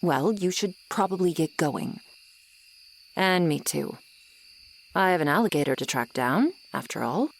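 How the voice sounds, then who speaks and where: A woman's voice: sits low, animated, creaky, slight background noise, full character voice. A woman playing an ageless tsundere swamp monster girl, sardonic and grudgingly kind, in an ASMR roleplay. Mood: exasperated, scolding. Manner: slow, sardonic, haughty but softening. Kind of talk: ASMR roleplay acting, addressed to the listener. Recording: night swamp ambience with insects behind. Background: crickets and insect ambience.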